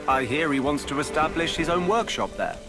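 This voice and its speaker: A man's voice: on the high side, expressive, fairly quick, over music. A man playing a thoughtful, level-headed resistance member in a video game cutscene. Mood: helpful.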